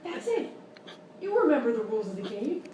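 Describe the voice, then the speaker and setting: In a very echoey, noisy room, an actress plays a bitter, exasperated female game show host. She rants with theatrical indignation and contempt, high-pitched and swooping up and down, in live radio-style sketch comedy. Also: very animated, fairly quick, warm tone, distant mic. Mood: sarcastic fury. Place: live stage performance in a hotel room.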